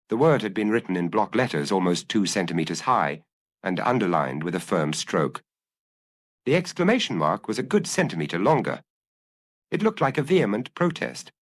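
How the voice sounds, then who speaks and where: A man's voice: lowish voice, no echo. A man narrating a detective story as a scripted audiobook reading.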